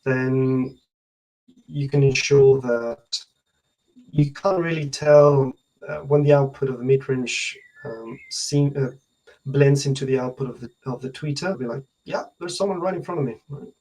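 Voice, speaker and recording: A man in his thirties giving technical explanations as an interview guest, hesitant and pausing mid-sentence to think. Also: mid-range voice, dark tone, poor audio. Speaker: loudspeaker research engineer, interview guest. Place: indoor room at home, on a video call. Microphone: video call.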